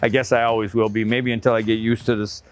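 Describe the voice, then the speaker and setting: A man's voice: on the low side, flat delivery, quick pace. A middle-aged man, a truck driver, chatting casually to camera with rambling asides and frequent laughs.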